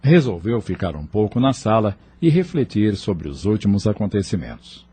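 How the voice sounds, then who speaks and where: A male narrator reading an audio drama. His voice is low and mellow with a husky grain. He tells the story in a measured, steady way. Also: no room sound.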